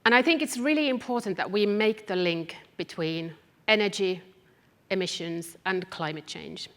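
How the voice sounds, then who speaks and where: A woman from Finland with a Finnish accent gives a deliberate public talk as a researcher, addressing her listeners directly and stressing key phrases. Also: medium pitch, fairly bright tone. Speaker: academic researcher, public speaker. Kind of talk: public talk to a live audience. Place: event hall, live talk. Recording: echoey hall with long reverb.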